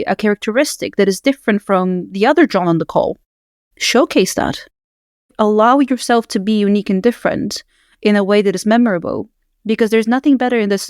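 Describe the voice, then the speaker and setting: A woman's voice: mid-range voice, darkish tone, no echo, clean studio sound. A woman hosting a podcast who talks in an emphatic, persuasive way. She builds her points up in lists. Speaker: podcast host. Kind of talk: casual podcast conversation.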